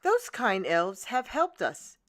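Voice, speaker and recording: A woman reader plays a kindly storybook shoemaker, earnest and grateful. The delivery is slow and measured, with plenty of rise and fall at a middle pitch. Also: huge pitch swings. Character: grateful, kindly male shoemaker in a fairy tale. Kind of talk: children's storybook read-aloud.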